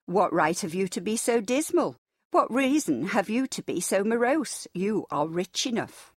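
Woman voicing a bright-hearted, cheerful, earnest young nephew. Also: fairly low pitch, sing-song, moderate pace, dry recording, studio quality.

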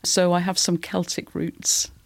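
A woman's voice: fairly low pitch, monotone, a little slow, gravelly, dry recording. A middle-aged woman from Cornwall, a church leader answering questions in a conversational interview. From north Cornwall, England.

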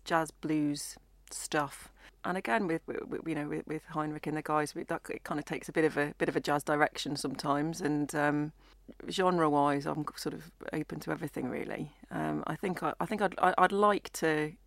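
A young woman with a gravelly, low voice chats modestly about her music. She restarts her sentences often. Her voice is recorded dry and close in a studio. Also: no echo. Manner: modest, rambling, with frequent restarts and laughs. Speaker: singer and musician guest. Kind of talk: casual interview chat.

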